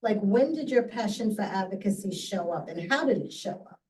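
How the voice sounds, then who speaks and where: A woman moderating a panel in a relaxed, casual, playful way. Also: mid-range voice, brisk, recorded from a distance, low-quality recording.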